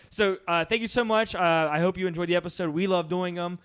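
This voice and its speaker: A man with a fairly high-pitched voice talks at a quick clip. He is co-hosting a movie podcast, chatting casually and informally with the other hosts and addressing listeners. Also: steady tone, a bit bright, clean tone. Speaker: podcast co-host. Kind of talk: casual film podcast chat.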